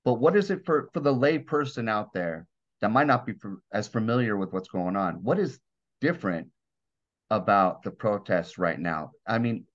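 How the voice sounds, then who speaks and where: A man's voice: on the low side, expressive, moderate pace, lo-fi sound. A man hosting a podcast, introducing a guest and asking questions with a few stumbles. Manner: friendly, slightly stumbling questions. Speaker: podcast host.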